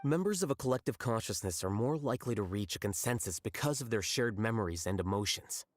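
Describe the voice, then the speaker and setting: Man playing a calm, aloof young scholar, dry close sound. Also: fairly low pitch, no room sound, natural voice. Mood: detached, explanatory. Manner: measured, detached, matter-of-fact. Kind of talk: English video game voice acting.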